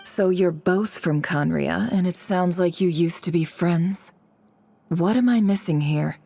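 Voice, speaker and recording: A woman's voice: fairly low pitch, measured pace, creaky, mellow, slightly rough, heavily acted. A woman playing a shrewd, businesslike intelligence broker in a video game, dry, composed and skeptical. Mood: probing.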